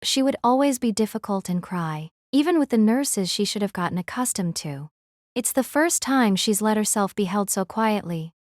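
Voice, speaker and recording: A woman playing a gentle young mother, an artificial being, speaking softly and tenderly in an audio drama. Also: medium pitch, lively intonation, moderate pace, dry recording, clean studio sound, natural voice. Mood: tender, content. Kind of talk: audio drama character acting.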